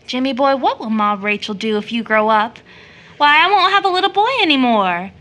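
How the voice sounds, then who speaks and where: A young motherly woman in an audio play, with a bright, fairly high voice. She is fond and playful, teasing and gently correcting as she goes. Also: animated, a little fast. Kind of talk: stage play audio drama acting.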